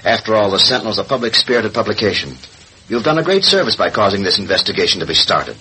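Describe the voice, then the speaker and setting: An actor playing an oily, nervous big-time politician in a 1940s radio drama, unctuous but hesitant and evasive. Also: male voice, fairly low pitch, very animated, fast, pushed and bright, low-quality recording. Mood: smooth, unctuous. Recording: old radio recording, faint noise.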